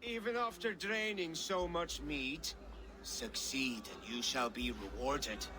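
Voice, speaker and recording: An actor playing a jovial Viking at a feast in a video game, hearty and theatrical. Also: male voice, on the high side, slow. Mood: teasing. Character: jovial Viking feast host issuing challenges. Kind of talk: video game character acting. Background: ambient noise.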